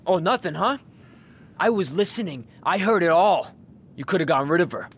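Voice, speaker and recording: Game casting: an angry middle-aged dad, sarcastic, with a voice that lurches up and down. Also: male voice, sits high, expressive, fairly quick, slightly rough. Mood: hostile. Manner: sarcastic, swinging from mutters to outbursts. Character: bitter, volatile grieving father. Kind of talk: video game voice acting.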